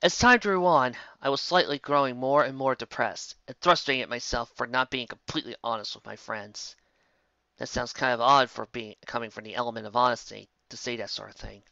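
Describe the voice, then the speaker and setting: Story reader: bright tone, dry, dull lo-fi home recording. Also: medium pitch, brisk, gravelly, no echo. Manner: plain, steady reading with small stumbles. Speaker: fan fiction reader. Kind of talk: first-person story reading.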